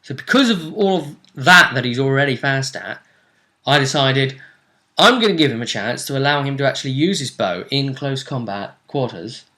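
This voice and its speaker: A man's voice: medium pitch, expressive, moderate pace, pushed and bright. A man, a game master, casually recapping a tabletop role-playing match in a rambling, storytelling way. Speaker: game master and show host.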